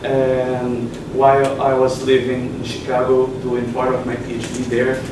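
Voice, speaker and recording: Brazilian man presenting a paper, flat halting delivery, distant noisy echoey hall. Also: mid-range voice. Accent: Brazilian Portuguese. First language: Portuguese. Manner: halting, many pauses and fillers. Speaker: conference presenter. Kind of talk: academic conference talk. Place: conference session room. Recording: echoey room from far off, noisy.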